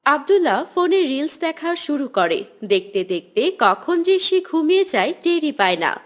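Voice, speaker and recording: A woman's voice: sits high, big pitch swings, moderate pace. A woman narrating a children's cartoon story in a scripted storytelling style.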